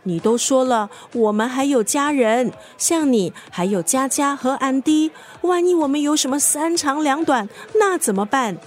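Sassy singaporean best friend, high pitched, blunt but caring, radio drama. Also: female voice, animated, normal speed, hardly any pauses. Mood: matter-of-fact. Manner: colloquial, mixes in English words.

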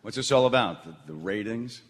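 A man's voice: fairly low pitch, expressive, a little fast, close to an everyday voice. A man playing a brash, self-important radio talk host in a comedic stage radio play. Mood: anxious. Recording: echoey theatre hall with audible noise.

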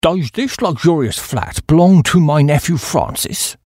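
A man's voice: on the high side, expressive, a little slow, a little mellow, dry recording, clean studio sound, voice pushed up. A man voicing a stingy old English duke, pompous and aggrieved, with a British accent. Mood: aggrieved, astonished. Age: older.

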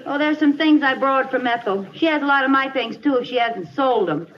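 A woman's voice: high voice, a little fast, far from the mic, lightly acted. A woman playing a stubborn, wounded housewife in a comic feud on a 1950s radio sitcom. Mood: brisk, resigned. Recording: noisy, dull 1950s radio recording.

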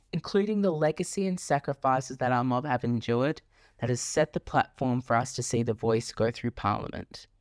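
Enthusiastic Australian male podcast host, welcoming, mid-pitched, easygoing delivery. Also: no echo, studio quality. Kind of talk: podcast interview.

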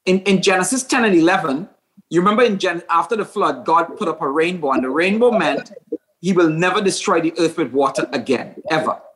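Man, pastor host, high voice, very animated, repeats words as he gets going. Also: a little fast, rough recording. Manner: animated storytelling, stammering restarts. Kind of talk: casual faith discussion.

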